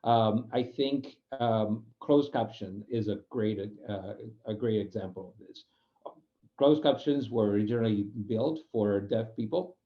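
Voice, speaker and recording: A man's voice: fairly low pitch, measured pace, muffled recording. A man from Spain, a non-native English speaker with a Spanish accent, gives an informal explanatory presentation, often restarting phrases and searching for words. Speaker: UX program manager giving a guest talk.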